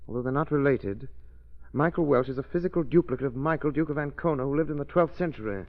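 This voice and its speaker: A man playing a calm, shrewd gentleman detective in a 1930s radio drama, speaking in a measured, deliberate way. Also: mid-range voice, natural voice. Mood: suspicious. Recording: dull old radio broadcast.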